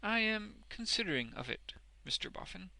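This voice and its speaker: A man's voice: high voice, big pitch swings, moderate pace, vocal fry, sharp tone, dry recording, voice pushed up, exaggerated voice, low-quality recording. A man voicing a smug, obsequious street ballad seller in a storybook, deferential yet self-important. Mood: flattered.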